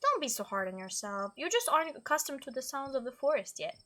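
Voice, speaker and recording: A woman with a high, bright voice plays host of a gaming video. Her delivery swings widely in pitch as she reads dialogue and narration aloud with dramatic expression, mixed with her own commentary. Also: big pitch swings, quick pace. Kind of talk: playthrough commentary, reading game dialogue aloud.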